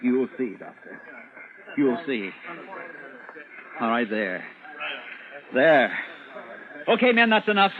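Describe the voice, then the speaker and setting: A man's voice: medium pitch, wildly swooping pitch, takes it slowly, long pauses, a crowd in the background. An actor playing an exasperated, commanding space captain in old-time radio drama style, desperate to prove that he is sane. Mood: urgent, insistent. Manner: emphatic, impatient, commanding. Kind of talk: 1940s-50s radio drama acting. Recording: noisy, tinny old radio recording.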